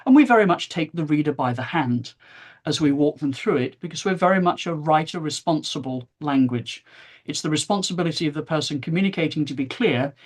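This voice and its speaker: A woman's voice: low-pitched, lively intonation, brisk. A woman giving an academic presentation, explaining discursively and stressing key phrases. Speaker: university lecturer presenting.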